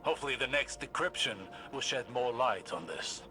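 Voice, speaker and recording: An actor playing a brooding, learned investigator haunted by regrets, speaking gravely and formally in game dialogue. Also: male voice, medium pitch, lively intonation, moderate pace, natural voice. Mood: grave, suspicious. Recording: music behind the voice.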